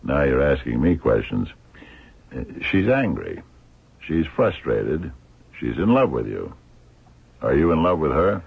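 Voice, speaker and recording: Very deep gravelly radio detective. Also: male voice, sing-song, full character voice. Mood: probing. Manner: probing, deliberate, pausing questioner. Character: shrewd, blind male police detective, calmly relentless. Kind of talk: old-time radio drama acting. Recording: muffled, narrow-band old radio recording.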